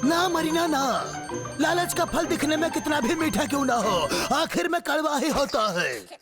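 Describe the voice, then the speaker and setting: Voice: sits high, animated, unhurried. An actor voicing a streetwise animal sidekick in a Hindi cartoon dub, teasing and mock-sage. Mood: preachy. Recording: music behind the voice.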